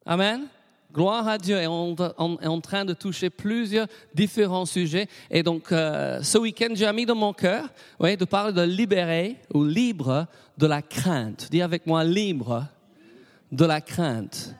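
A man's voice: high voice, measured pace, in a large hall. A middle-aged British man, a native English speaker with an English accent, preaching a sermon and leading the congregation in call-and-response with frequent amens. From Britain. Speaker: pastor.

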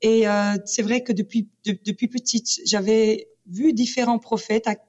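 A woman's voice: a little high, moderate pace. A woman from British Columbia, a guest talking passionately about her faith, with many restarts. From Canada, British Columbia. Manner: passionate, animated, restarts often. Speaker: guest speaker. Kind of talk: casual chat. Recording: dull lo-fi sound.

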